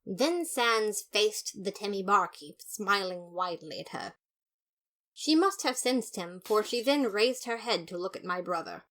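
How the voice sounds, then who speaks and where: A woman playing a pompous, fastidious skeleton detective, narrating a story in the first person with theatrical, haughty disapproval. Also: medium pitch, lively intonation, a bit bright. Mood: exasperated.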